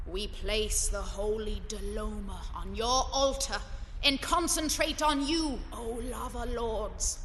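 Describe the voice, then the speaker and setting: A woman's voice: a little high, slow pace, fairly bright tone. A woman playing an imperious, fierce Lava Queen who declaims grand archaic ritual speech in a radio drama. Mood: reverent. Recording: long echoing hall reverb, faint noise.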